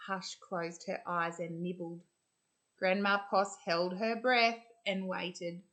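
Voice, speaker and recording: Female storyteller, Australian accent, for children's picture books: slow, expressive delivery, bright tone. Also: fairly low pitch. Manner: expressive, deliberate storytelling with stretched words. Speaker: school storyteller.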